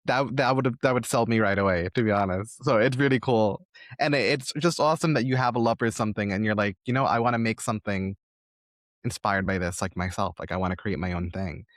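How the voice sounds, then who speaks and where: A man's voice: on the low side, fairly quick, no room sound, studio quality. A man hosting a casual interview, speaking in an encouraging, affirming conversational way. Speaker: podcast host, interviewer.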